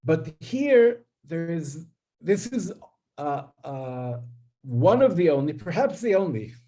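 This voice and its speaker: A man whose first language is Hebrew lectures on linguistics in a slightly raised, mellow voice. He hesitates constantly, stacking fillers and restarts. He keeps checking in with his listeners by asking "right?". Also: on the high side, big pitch swings, slow. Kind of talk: academic lecture.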